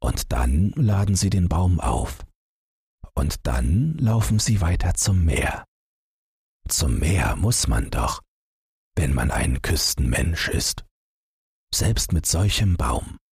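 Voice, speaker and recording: Male audiobook narrator, low and very breathy-husky, measured literary storytelling with deliberate pauses. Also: sing-song, a little mellow, dry recording, studio quality.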